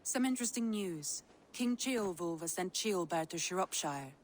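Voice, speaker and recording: Knowing viking advisor in a game, calm measured lower voice, slight background noise. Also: female voice. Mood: wry, composed. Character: composed, shrewd female Viking advisor. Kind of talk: video game cutscene acting.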